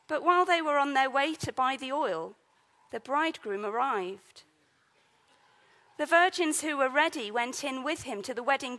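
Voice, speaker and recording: A woman's voice: sits high, sharp tone. A woman leading a church service, reading scripture, introducing the guest preacher, and praying in an earnest manner.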